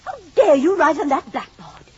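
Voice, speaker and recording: Indignant, high-voiced stern lady. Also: very animated, moderate pace. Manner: sharp, scolding, clipped. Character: indignant, stern, protective household woman. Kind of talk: 1950s radio drama acting. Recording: muffled, noisy old radio recording.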